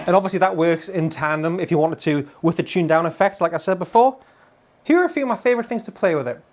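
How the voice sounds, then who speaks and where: Man, thirties, high-pitched voice, enthusiastic casual gear reviewer, loud electric guitar behind him. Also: fast talker. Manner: enthusiastic, informal. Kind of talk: casual product demo.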